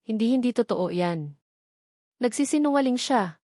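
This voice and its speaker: A woman's voice: on the high side, expressive, normal speed, dark tone, no echo. Wounded young woman of a romance audio story acted by a female narrator, emotional, betrayed, dry sound. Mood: disbelieving. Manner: emotional, accusing.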